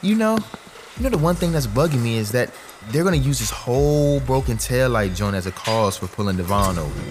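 An actor playing a hot-headed, anxious young activist in an audio drama, speaking in an impassioned, colloquial way. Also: male voice, medium pitch, animated, brisk, noisy background, close to an everyday voice. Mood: agitated, worried.

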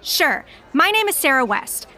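An actress playing a self-righteous, defensive pro-police counter-protester, speaking emphatically in an audio drama street interview. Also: female voice, high-pitched, big pitch swings, a little slow, sharp tone, full character voice. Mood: earnest, defensive. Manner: emphatic, indignant.

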